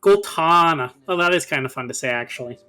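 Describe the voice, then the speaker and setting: A man's voice: a little high, expressive, brisk, bright, forceful tone, clear, resonant tone, soft background music. A man chatting casually and jokingly while playing and commenting on a video game with friends. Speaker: co-player and commentator on a game series. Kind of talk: casual joking chat over gameplay.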